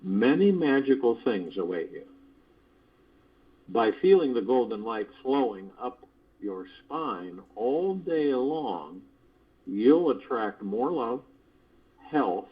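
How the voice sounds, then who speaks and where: A man hosting a guided meditation on a conference call, speaking in measured, deliberate phrases with pauses, like a spiritual motivational talk. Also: on the low side, husky. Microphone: phone conference call. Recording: dull lo-fi conference call audio.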